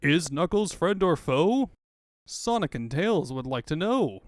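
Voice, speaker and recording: A man's voice: a little high, big pitch swings, a little slow, dry recording. Man narrating a comic, dry close sound. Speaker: narrator and voice performer.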